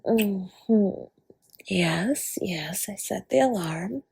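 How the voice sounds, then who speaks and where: A woman playing a flirty, doting dragon baker spouse in an intimate romantic audio roleplay, speaking in a husky, breathy, teasing way that often drops to a whisper. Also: mid-range voice, lively intonation, very slow, dark tone, no room sound, clean studio sound, exaggerated voice. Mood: flirty, tender.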